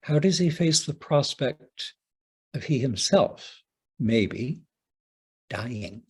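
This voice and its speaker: Husky, slow-paced man lecturing theatrically. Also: medium pitch, animated, a little mellow. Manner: theatrical, deliberate, with dramatic pauses. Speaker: playwright giving a talk. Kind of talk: prepared conference lecture, read aloud with dramatic phrasing.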